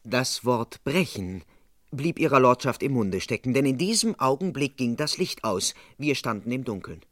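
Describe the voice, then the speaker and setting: An actor playing the composed first-person narrator of a vintage radio crime play, reading scripted narration. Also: male voice, medium pitch, expressive, normal speed, no room sound, clean studio sound, close to an everyday voice.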